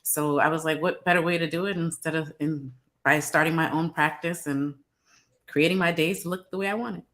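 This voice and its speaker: Calm low-voiced woman from New York. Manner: calm, measured, with frequent "um"s. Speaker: psychologist guest. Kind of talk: interview over video call.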